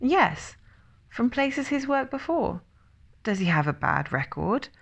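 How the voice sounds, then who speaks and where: A woman's voice: mid-range voice, expressive, unhurried. A woman voicing a nosy, skeptical storybook character who needles with pointed, probing questions.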